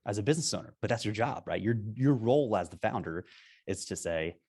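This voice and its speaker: A man, a company founder, talking in a casual business interview over a video call. He is energetic and emphatic and keeps checking in with "right?". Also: on the low side, fast talker, husky. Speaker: software company founder, guest.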